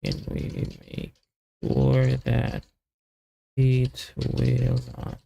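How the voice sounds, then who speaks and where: A man giving casual live coding commentary, thinking aloud as a software developer in halting, muttered fragments with long pauses. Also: medium pitch, completely flat delivery, gravelly, breathy edge.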